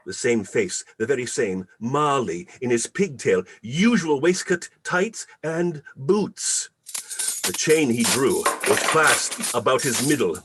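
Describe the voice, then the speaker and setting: A man's voice: mid-range voice, big pitch swings, slow, fairly bright tone, slightly rough. A man narrating a classic story dramatically, with theatrical, emphatic and varied phrasing.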